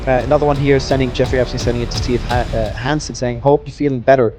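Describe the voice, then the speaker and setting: A man talks quickly in a conversational discussion, stopping and repeating himself as he reads out messages and comments on them. Clear music plays behind his voice. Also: mid-range voice, steady tone, a little mellow. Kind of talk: conversational discussion, reading emails aloud.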